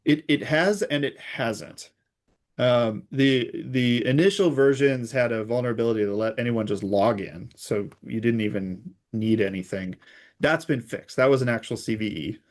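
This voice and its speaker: A man speaking as an expert guest in an interview, explaining technical security matters in a conversational, measured way. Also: mid-range voice.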